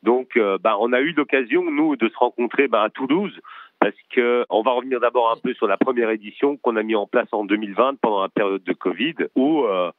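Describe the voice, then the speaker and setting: A man who directs a documentary, talking as a guest with eager, halting restarts. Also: medium pitch, no echo. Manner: eager, many restarts and hesitations. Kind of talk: remote interview conversation. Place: home in Brussels. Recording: dry tinny phone line.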